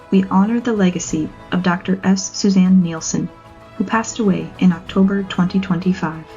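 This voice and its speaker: A woman's voice: medium pitch, warm tone. A woman reading a scripted award ceremony announcement as the presenter. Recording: muffled lo-fi audio, music behind.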